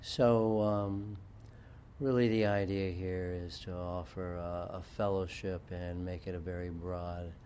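A man's voice: low voice, little pitch movement, a little slow, mellow, a little hiss or hum. A middle-aged man answering interview questions hesitantly, with many fillers. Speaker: yoga studio owner.

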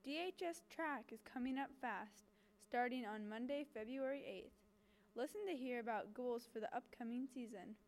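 A woman introducing news segments with upbeat, crisp reading. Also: fairly high pitch, moderate pace. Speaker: news anchor.

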